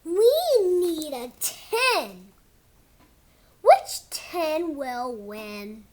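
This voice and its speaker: Little kid reading a picture book out loud, super high voice, slow n halting, draws words out. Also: sing-song. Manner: halting beginner reader, drawn-out words. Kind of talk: children's book read-aloud.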